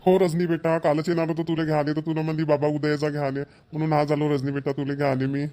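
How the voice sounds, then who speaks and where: A man's voice: fairly high pitch, flat delivery, fast, dark tone, lightly acted. A man playing a doting, proud middle-aged village father in a cartoon, with a rural Maharashtrian Marathi accent and earnest, homely manner. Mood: fond. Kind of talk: Marathi cartoon family comedy voice-over.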